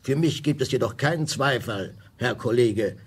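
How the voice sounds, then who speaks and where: Sober cabinet minister, mid-range pitch, raspy edge, matter-of-fact formal delivery, radio play. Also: male voice, normal speed. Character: sober, pragmatic liberal government minister. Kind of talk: German radio play acting.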